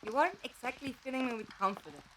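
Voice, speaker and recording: A woman's voice: high voice, sing-song, fast, bright, forceful tone, a little hiss or hum, full character voice, rough recording. A woman playing a playful, candid adventuring companion in a fan audio drama, teasing and frank. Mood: doubtful.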